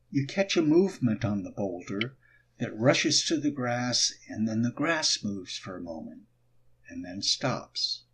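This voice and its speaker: A man narrating a guided meditation, giving instructions in short, deliberate phrases. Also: medium pitch, husky.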